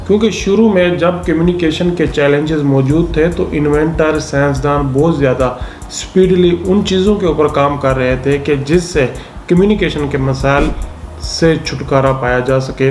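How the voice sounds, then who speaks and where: A man's voice: fairly high pitch, darkish tone. A man hosting an explainer commentary, talking didactically and posing questions that he then answers. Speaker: host explaining current affairs. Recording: roomy echo, faint background noise.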